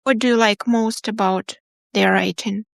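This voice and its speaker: A woman's voice: mid-range voice, steady tone, normal speed, gravelly, no room sound, studio quality. A woman hosting a podcast interview, asking questions in a friendly, encouraging way and often repeating her words. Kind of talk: casual interview.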